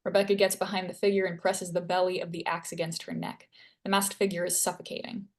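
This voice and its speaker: A woman serves as narrator at a screenplay table read. She reads scene headings and action lines in a slightly low voice. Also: flat delivery, fast. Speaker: stage directions narrator.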